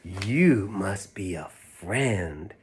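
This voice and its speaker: Man voicing a toy bear, storybook, gentle, shy, wondering, hushed and unhurried. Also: deep voice, animated, background noise, pitched below the performer's own voice, exaggerated voice. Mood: tender wonder. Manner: soft, slow, wondering.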